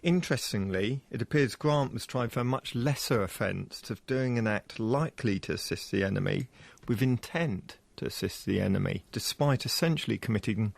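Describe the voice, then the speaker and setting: A man's voice: mid-range voice, normal speed, vocal fry, dry recording. A man explains things as an expert interviewee, in a considered, formal and precise manner.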